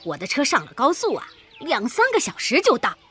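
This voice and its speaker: Casting: persuasive female boss, radio drama, high bright-spirited pitch, very animated, breezy and insistent. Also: fairly quick, husky, heavily acted. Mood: expansive, hospitable. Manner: breezy, pushy, gushingly hospitable. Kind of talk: Chinese radio drama acting.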